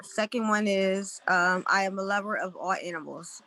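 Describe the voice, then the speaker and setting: Woman, from Philadelphia, bright voice, quiet, hesitant, dry. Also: medium pitch, normal speed, no echo. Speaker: filmmaker. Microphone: video call.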